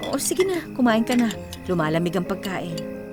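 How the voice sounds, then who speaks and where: A woman playing a caring, gently firm, hardworking widowed mother in a radio drama. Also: mid-range voice, sing-song, normal speed, over loud music, close to an everyday voice.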